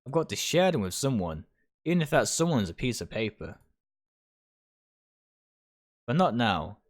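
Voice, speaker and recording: A man's voice: medium pitch, very animated, normal speed. A man livestreaming a visual novel, reading its text aloud and stumbling over phrases now and then. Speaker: video game streamer.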